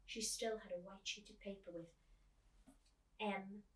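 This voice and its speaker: A woman's voice: medium pitch, fairly even intonation, unhurried, fairly bright tone, recorded from a distance. A woman reading a children's book aloud in a storytime style. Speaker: storytime reader, teacher. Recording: echoey room, away from the mic.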